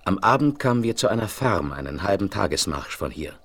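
Husky, low-voiced frontier wanderer. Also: male voice, very animated, brisk, heavily acted. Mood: earnest, recounting. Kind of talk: 1970s German radio play acting.

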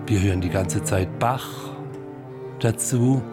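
A man giving reflective, unscripted-sounding commentary, pensive and halting, often repeating himself. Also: fairly low pitch, over loud music.

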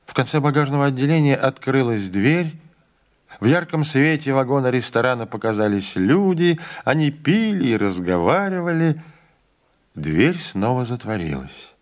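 A man reading a literary audiobook in a measured, even storytelling manner. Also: mid-range voice. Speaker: audiobook reader. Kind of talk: literary narration.

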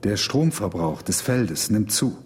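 An actor playing a thoughtful, concerned scientist in a radio drama, earnest and precise in speech. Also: male voice, fairly low pitch, very animated, unhurried, darkish tone, a little hiss or hum. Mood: puzzled, concerned.

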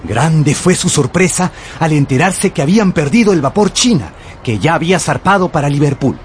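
Animated male radio drama narrator. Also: a little high, moderate pace, slight background noise. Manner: theatrical storytelling. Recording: music under the voice.

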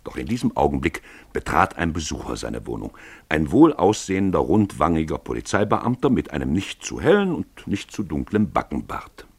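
A man with a husky voice narrating a literary radio play from a script, as a storyteller. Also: a bass voice, very animated, normal speed, a little mellow.

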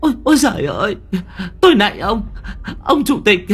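Old village woman, shrill and panicky, wailing high, a man puts her on; storytelling voice, faint music under. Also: sing-song, measured pace, fairly bright tone, breathy edge, full character voice. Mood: terrified. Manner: high-pitched cries, panicky.

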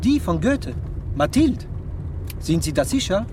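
An inquisitive, doubtful man in a radio play. His voice is fairly high and casually questioning, with clear car and street noise around him. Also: very animated, unhurried. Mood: doubtful, curious. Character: doubtful, inquisitive man chatting about Goethe. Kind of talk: German radio drama acting. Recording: noisy vehicle and street ambience.

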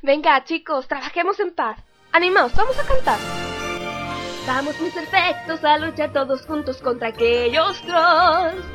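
A woman playing a perky, cheerful singing school prefect in an amateur radio play, bubbly and eager. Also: high voice.

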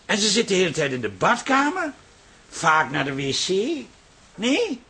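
An actor playing an anxious, fussing, well-meaning husband in a Dutch radio comedy drama. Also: male voice, high-pitched, wildly swooping pitch, moderate pace, bright, forceful tone, husky, full character voice. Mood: anxious insistence. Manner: hesitant, insistent, fussing.

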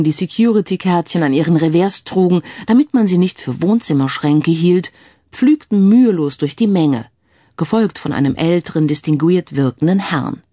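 Female crime story narrator, animated, mellow, recorded dry. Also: fairly low pitch, no room sound.

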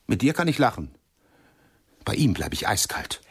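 A proud, cultured art lover in a radio play. The voice is low and husky, and he argues insistently, picking at words like someone easily offended. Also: very animated, moderate pace, full character voice. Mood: indignant. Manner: emphatic, pedantic, insistent.

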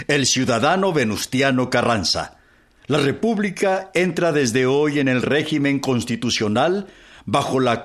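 An actor playing a formal newspaper herald with a Mexican accent, proclaiming old news in a radio docudrama. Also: male voice, mid-range voice.

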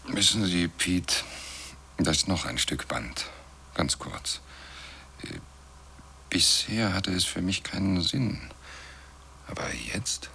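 An actor in a radio drama playing a thoughtful male moon scientist who speaks slowly and ponderingly, at moments hushed. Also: low-pitched, animated, gravelly, whispered, full character voice. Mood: pondering, intrigued.

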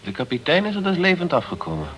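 A man's voice: on the high side, huge pitch swings, quick pace, a bit bright. A man playing a businesslike English insurance investigator, terse, dry and inquisitive, in a radio play. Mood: probing, businesslike. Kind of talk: Dutch radio play acting.

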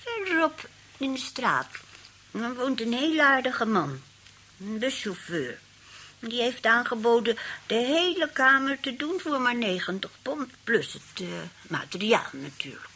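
An actress playing a sly, genteel old aunt who rambles politely and feigns helplessness in a radio play. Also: female voice, a little high, sing-song, unhurried, pushed and bright, a little hiss or hum, exaggerated voice. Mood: sweetly coaxing.

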